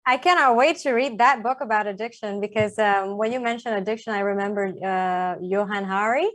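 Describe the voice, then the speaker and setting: A woman's voice: mid-range voice, fairly quick. A woman interviewing a guest in a casual, conversational style.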